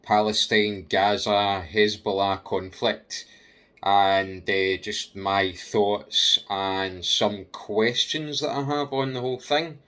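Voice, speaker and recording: A Scottish man giving an unscripted personal opinion rant in a halting way, repeating himself and putting questions straight to the listener. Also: on the low side, level tone, fairly bright tone. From Scotland, UK.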